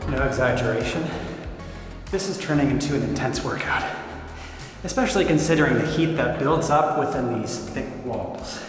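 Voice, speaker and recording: A man's voice: medium pitch, animated, normal speed. A man hosting a guided tour documentary, presenting to camera in a chatty, playful way. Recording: echoey hall reverb, music behind.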